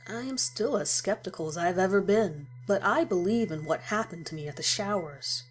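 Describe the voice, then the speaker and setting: A woman's voice: medium pitch, expressive, moderate pace, breathy edge, rough recording. A woman reading a first-person horror story as a dramatic scripted narration. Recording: music behind the voice. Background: music.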